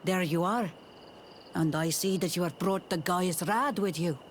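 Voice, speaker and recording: A man's voice: high voice, unhurried, a little hiss or hum. A man playing a stern, proud native war leader in a video game, grave and resolute. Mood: grim resolve.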